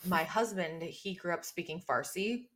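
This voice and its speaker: Low-voiced chatty woman host. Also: a little slow. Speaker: podcast host. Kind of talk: casual conversational interview.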